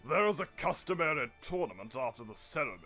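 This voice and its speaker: A man's voice: a little high, big pitch swings, quick pace, pushed and bright, faint music behind. A man playing a shrewd, fawning prime minister in an audio drama, speaking in a formal, unctuous manner. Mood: obsequious. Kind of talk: radio-play acting.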